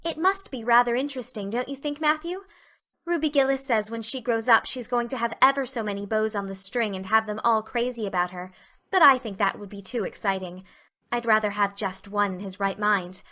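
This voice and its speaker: A woman's voice: fairly high pitch, a little fast, bright, forceful tone. A woman voicing an earnest, chatty young schoolgirl in a storybook, wordy and melodramatic in manner. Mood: musing, curious. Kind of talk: storybook character dialogue.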